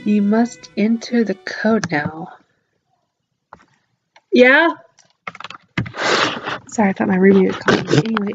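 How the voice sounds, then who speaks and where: A woman hosting a casual visual novel playthrough, talking informally and enthusiastically with slangy, drawn-out emphasis. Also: medium pitch, background noise. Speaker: gaming channel host. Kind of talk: casual visual novel playthrough commentary, reading text aloud. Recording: dull lo-fi mic, music behind. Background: game music.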